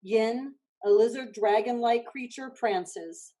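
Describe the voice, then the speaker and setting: A woman reading stage directions as measured, scripted narration for a staged play reading. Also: on the high side, mellow.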